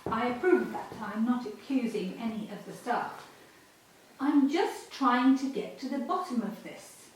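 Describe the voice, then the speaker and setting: A woman's voice: a little high, expressive, measured pace, heavy reverb, poor audio. An actress playing a suspicious woman business owner in a radio drama, pressing her staff with insistent questions. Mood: probing. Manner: probing, insistent.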